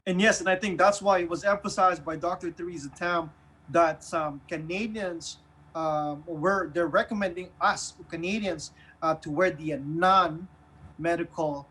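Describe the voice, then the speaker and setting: A man with a Filipino accent casually discussing the news as a radio host, in a halting, earnest way that often repeats phrases. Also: a little high, steady tone, pushed and bright, breathy edge, rough recording. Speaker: online radio show host.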